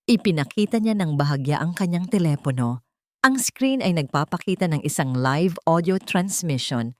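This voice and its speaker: A woman narrates a dramatic story from a script. Her voice sits a little low, and the recording is dry, with hardly any room sound. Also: animated, moderate pace, darkish tone, no echo.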